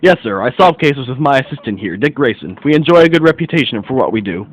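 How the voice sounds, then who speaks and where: A man playing a brisk, formal, self-assured private detective in a radio mystery. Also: mid-range voice, very animated, rough recording. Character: brisk, observant American gentleman private detective. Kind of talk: radio mystery play acting.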